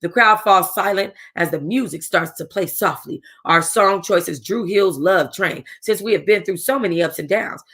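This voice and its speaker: A woman's voice: lowish voice, normal speed, few pauses, fairly bright tone, slightly rough. Casual woman reading a novel aloud. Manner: casual, chatty asides to listeners; stumbles and rereads lines. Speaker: book club reader.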